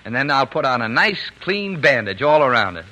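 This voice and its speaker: A man's voice: mid-range voice, very animated, moderate pace, sharp tone. An actor playing a cheerful, dreamy working-class father, fond and boastful, folksy and soothing, in a 1940s radio drama. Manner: folksy, soothing, playfully exaggerating. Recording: faintly noisy 1940s radio broadcast.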